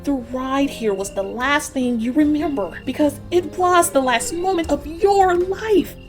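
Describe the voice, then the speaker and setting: A woman playing an elderly, knowing witch in a dark fairy tale audiobook, speaking in measured, cryptic and solemn storytelling. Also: sits high. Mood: intense. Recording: music behind the voice. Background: music.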